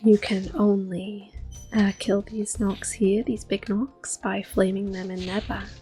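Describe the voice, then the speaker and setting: A woman giving gentle, hushed ASMR commentary on a video game, slipping between soft speech and whispers. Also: mid-range voice, level tone, a little slow. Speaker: host playing a video game. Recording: soft game music behind. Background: quiet game music.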